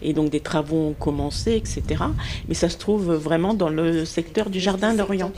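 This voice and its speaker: A woman's voice: fairly low pitch, normal speed, mellow, slight background noise. Hesitant woman from the audience. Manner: hesitant, many fillers. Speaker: audience member. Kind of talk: impromptu remarks.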